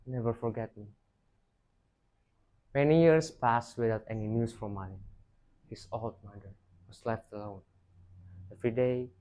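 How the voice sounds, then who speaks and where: A man's voice: fairly low pitch, a little mellow, rough recording. A young man tells a rehearsed folktale dramatically, in emphatic, declaimed phrases. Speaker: student storyteller.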